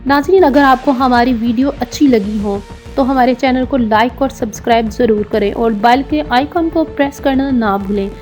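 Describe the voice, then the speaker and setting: A woman reads a scripted documentary narration in a formal, measured manner. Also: fairly high pitch, darkish tone, faint music behind. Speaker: documentary narrator and channel presenter.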